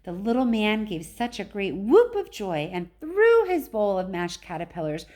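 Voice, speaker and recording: Female storybook reader, teacherly, medium pitch with lively intonation. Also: normal speed. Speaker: teacher reading aloud. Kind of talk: animated children's storybook reading with casual asides.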